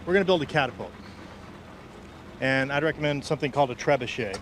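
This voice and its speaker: A man's voice: mid-range voice, quick pace, fairly bright tone, a little hiss or hum. Man, from Texas, expert, practical explaining, outdoor junkyard. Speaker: catapult expert. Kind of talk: explaining on site.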